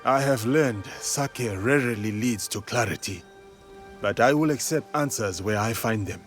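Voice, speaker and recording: A man voicing an earnest, steady warrior. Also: mid-range voice, unhurried, close to an everyday voice. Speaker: game protagonist. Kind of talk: video game cutscene acting. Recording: game audio with music.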